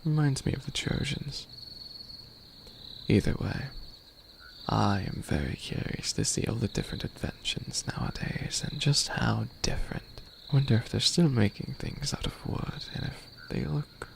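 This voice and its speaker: Centuries-old skeleton demon lord for ASMR roleplay, male actor: very gravelly, unhurried, soft and half-whispered, courtly, hesitant, quaintly curious about humans. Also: medium pitch, a little hiss or hum. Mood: polite, curious. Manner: soft-spoken, partly whispered, hesitant, old-fashioned formal wording.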